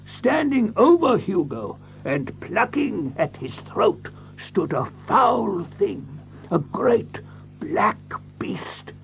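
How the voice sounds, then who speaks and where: A man playing a fussy, excitable country doctor in a radio drama, stammering and repeating himself as he dithers. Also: high voice, gravelly, a little hiss or hum, full character voice, rough recording. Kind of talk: theatrical radio drama acting.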